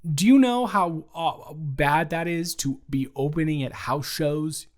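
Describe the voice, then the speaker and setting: A man's voice: on the high side, a little slow, natural voice. A young man playing the listener's teasing, caring best friend in an improvised audio roleplay, rambling and correcting himself as he talks. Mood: mocking.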